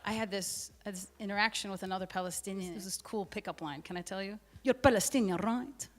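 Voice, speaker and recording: A woman's voice: medium pitch, quick pace. A woman telling a stand-up anecdote, acting out both sides of a dialogue. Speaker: stand-up comedian.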